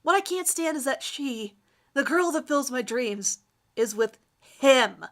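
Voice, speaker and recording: A woman's voice: sits high, animated, a little slow. A woman voicing a vain, lovestruck teenage boy who narrates his crush with overwrought emphasis in a melodramatic fan fiction reading. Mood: frustrated, boastful.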